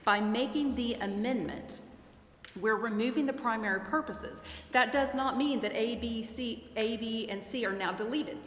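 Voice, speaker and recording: A woman's voice: on the high side, normal speed. Woman explaining carefully in an echoey chamber. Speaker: legal advisor to a city council. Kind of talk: formal explanation at a public meeting. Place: council chambers during a public meeting. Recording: echoey hall, clear background noise.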